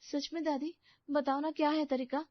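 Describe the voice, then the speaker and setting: A woman's voice: high-pitched, little pitch movement, normal speed, no echo, muffled recording, voice pushed up, lightly acted. A woman voicing an anxious, eager-to-please young daughter-in-law in a story, earnest and plaintive.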